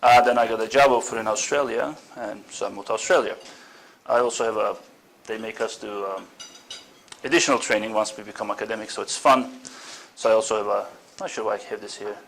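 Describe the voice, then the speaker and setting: Gravelly Turkish-born man lecturing at a seminar. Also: fairly low pitch, steady tone, brisk, fairly bright tone, slight background noise, low-quality recording. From Turkey. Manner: hesitant, many fillers, self-deprecating asides. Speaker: seminar speaker, senior lecturer. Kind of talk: informal academic seminar talk. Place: seminar room.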